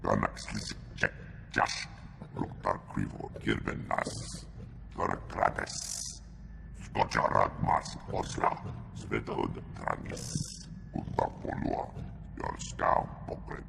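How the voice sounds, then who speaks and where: Cavernous, croaking reptilian alien. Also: male voice, very deep voice, huge pitch swings, slow pace, background noise, full character voice. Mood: guttural, deliberate. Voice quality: guttural, growling, gravelly. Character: hulking reptilian alien speaking a guttural alien tongue.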